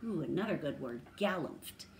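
A woman in her thirties with a low, creaky voice reads a story aloud for children. She steps out of the text to explain and reason through it in an instructional way. There is faint noise behind her in a room at home. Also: expressive, moderate pace. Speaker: read-aloud teacher. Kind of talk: animated children's story reading with word explanations. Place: small room at home, indoors.

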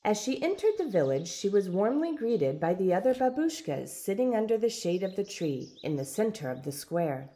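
A woman reading a storybook aloud in expressive, deliberate, dramatic narration. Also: medium pitch. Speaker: storybook reader. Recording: background noise with birdsong.

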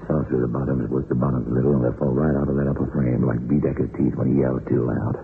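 A rock-bottom deep, weary older sheriff with a folksy voice, brooding over a guilty conscience in an old radio play. The sound is narrow and tinny, with light hiss. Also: male voice, level tone, fast talker, vocal fry. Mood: matter-of-fact. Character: weary, guilt-ridden small-town sheriff and hangman nearing retirement. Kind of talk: old-time radio drama acting.

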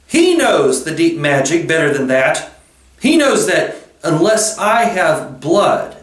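A man voicing a cruel, haughty witch queen in a storybook reading, shrill and forceful in his declaiming. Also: echoey room, far from the mic, heavily acted. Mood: savage contempt.

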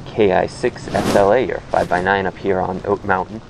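A man's voice: sits low, fairly even intonation, normal speed, gravelly, background noise. An American man working as an amateur radio operator, making repetitive, procedural calls with spelled-out call signs. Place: outdoors on a hilltop.

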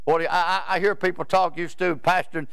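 A man's voice: sits high, fairly even intonation, fast, gravelly, fairly bright tone, clean tone, dry recording. A man, preaching a rambling, folksy sermon in a church sanctuary and often stopping to ask "Amen?". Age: 70s. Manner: rambling, repeats words, asks "Amen?" of the crowd.

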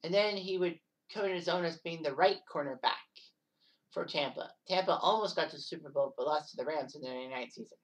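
A woman in her thirties narrating sports history casually, rambling with offhand asides and quick self-corrections. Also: sits low, distant mic, low-quality recording. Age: 30s.

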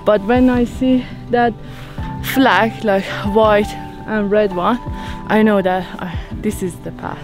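Travel vlogger, woman, outdoors on a trail, slow and upbeat, music bed behind. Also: mid-range voice, fairly even intonation, rough recording. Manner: enthusiastic, exclaims at views. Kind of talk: casual vlog narration while hiking.

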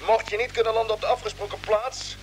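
An actor playing a calm, authoritative spaceship captain giving brisk orders in a vintage radio drama. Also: male voice, sits high, soft background music, natural voice. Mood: commanding. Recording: old radio recording with background noise.

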